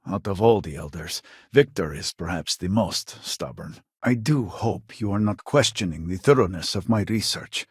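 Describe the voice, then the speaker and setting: An actor playing a scholarly, earnest and weary researcher in a video game, speaking formally and precisely with a husky voice. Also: male voice, deep voice, lively intonation, no echo, clean studio sound. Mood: measured, formal. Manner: formal, measured, articulate, precise wording. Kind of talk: video game character dialogue.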